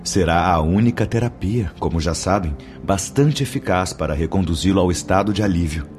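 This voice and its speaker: Deep-voiced male spirit teacher, grave, patient, authoritative, measured, radio drama, music underneath. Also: animated, a little mellow. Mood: solemn, explaining. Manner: solemn, didactic, measured delivery.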